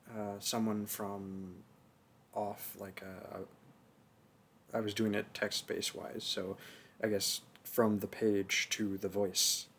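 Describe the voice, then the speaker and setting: A man talking casually to his viewers as an audio creator, quiet, hesitant and rambling. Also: on the low side, a little hiss or hum. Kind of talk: casual chat addressed to viewers.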